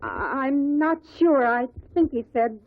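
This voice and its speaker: An actress playing a nervous, bitter widow who testifies in a hesitant, defensive way in a 1950s radio drama. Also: female voice, high voice, sing-song, slow pace, dry recording, full character voice. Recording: tinny, dry old radio recording.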